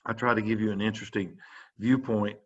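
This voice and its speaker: An older man talks through an informal lecture for students as a physician. His voice sits fairly low and he wanders through his points with many fillers and restarts. The audio is dull and muffled, like a video call. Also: fairly even intonation, a little fast. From South Carolina.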